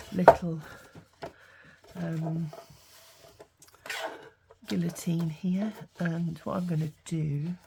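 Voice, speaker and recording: A woman with a cold, croaky from a sore throat, chatting through a craft tutorial with lots of ums and restarts. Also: low-pitched, background noise. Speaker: craft vlogger. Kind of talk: casual craft tutorial chat.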